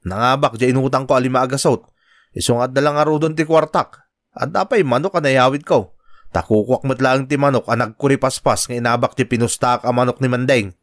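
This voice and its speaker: A man's voice: medium pitch, a little fast, dry recording, clean studio sound, natural voice. A man with an Ilocano accent playing a brash, hot-tempered gambler husband in an audio drama, curt and defensive. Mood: offhand. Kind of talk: Ilocano radio-style drama acting.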